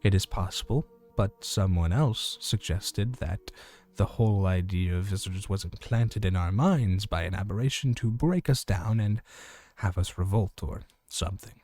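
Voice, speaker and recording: A man playing a small-town radio host in an audio drama, reporting eerie fantasy news in a measured, formal announcer manner. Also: low voice, darkish tone, dry recording, soft background music. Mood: musing. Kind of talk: scripted community radio news narration in audio fiction.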